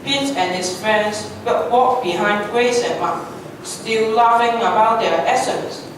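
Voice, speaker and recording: A woman's voice: a little high, slow pace. A young woman reading scripted story narration aloud in a readers' theatre performance. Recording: very echoey hall, distant mic, noisy.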